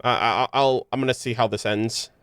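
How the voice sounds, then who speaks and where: A man's voice: medium pitch, steady tone, normal speed, fairly bright tone, clean tone, dry recording. A man giving casual, slangy live reactions while playing a game, muttering asides on a close mic. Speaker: game streamer.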